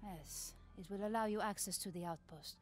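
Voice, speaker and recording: A woman's voice: medium pitch, moderate pace, close to an everyday voice. A woman playing a brainy, awkward middle-aged scientist in a video game, speaking in clipped, formal phrases that drop small words. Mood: matter-of-fact. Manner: clipped, formal, article-dropping speech. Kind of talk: video game cutscene acting. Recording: loud music underneath.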